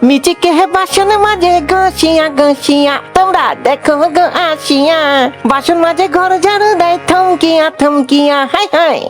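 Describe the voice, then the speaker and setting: A performer voicing a gullible, excitable male fox husband in a comic cartoon, exclaiming and repeating words in a sing-song way. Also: extremely high pitch, moderate pace, soft background music. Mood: gleeful boasting.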